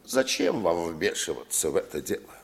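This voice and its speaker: An actor in a 1950s radio play playing a stern old French villager who leads the underground, with weighty, deliberate phrasing. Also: male voice, deep voice, wildly swooping pitch, vocal fry, heavily acted. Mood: grave, guarded.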